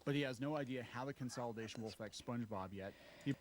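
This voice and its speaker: A man's voice: medium pitch, fast talker, slight background noise. Matter-of-fact male video narrator. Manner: steady, matter-of-fact reading with occasional fillers. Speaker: video narrator giving a news update. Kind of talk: read-aloud online news update.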